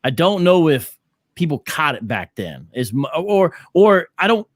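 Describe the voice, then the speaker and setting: A man's voice: a little high, big pitch swings, a little slow, dry recording. Skeptical male podcast co-host, dry sound. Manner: skeptical, thinks aloud with many restarts. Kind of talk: casual discussion.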